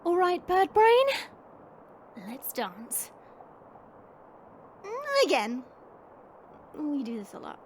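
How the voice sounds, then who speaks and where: A woman's voice: high voice, big pitch swings, drawn-out words, pauses often, a little mellow, slight background noise, heavily acted. A woman playing a smirking, sarcastic monster woman who chats and mocks in an audio drama. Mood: cocky. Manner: sarcastic, mocking, chatty. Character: smirking, teasing monster woman, short and muscular.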